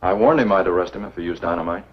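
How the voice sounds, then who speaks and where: Husky, low-voiced American lawman. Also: steady tone, racing. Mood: troubled, dutiful. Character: earnest, duty-bound lawman, reasoning things out. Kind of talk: 1950s B-movie film acting. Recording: dull, muffled 1950s film soundtrack.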